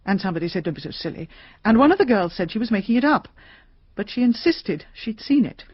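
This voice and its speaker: A woman's voice: mid-range voice, lively intonation, fast talker, breathy edge, no room sound. A shaken British lady novelist, close and dry. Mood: earnest, confiding. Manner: hesitant, confiding. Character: shaken middle-aged lady mystery novelist, talkative, insistent. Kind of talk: radio drama acting. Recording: slightly dull, dry radio recording.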